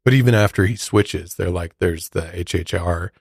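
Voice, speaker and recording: A low male voice, recorded dry with very little room sound. He co-hosts a podcast, mixing conversational true-crime commentary with prepared facts. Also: normal speed, gravelly, husky, no echo. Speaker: podcast co-host.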